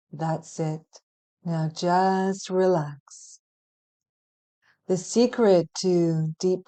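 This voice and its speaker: Very mellow, slow-speaking woman guiding relaxation. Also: on the low side. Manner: soothing, gentle, measured with long pauses. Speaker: hypnotherapy practitioner guiding meditation. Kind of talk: guided relaxation meditation, hypnotic scripted narration.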